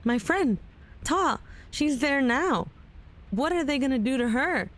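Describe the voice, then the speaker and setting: High female voice, swooping intonation, anxious, disbelieving, shaken, audio drama. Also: animated, measured pace. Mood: worried. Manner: anxious, questioning. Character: shaken, disbelieving woman fearing for loved ones.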